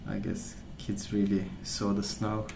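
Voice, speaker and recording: A man speaking softly as an ASMR host, rambling through stories in a hesitant, pausing, unhurried way. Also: fairly low pitch, monotone, creaky, background noise. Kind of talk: soft-spoken ASMR rambling.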